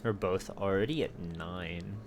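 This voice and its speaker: A man's voice: deep voice, measured pace, background noise. A man giving casual gameplay commentary, muttering to himself in short phrases as he plays. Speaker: game streamer. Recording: game music behind. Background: video game music and effects.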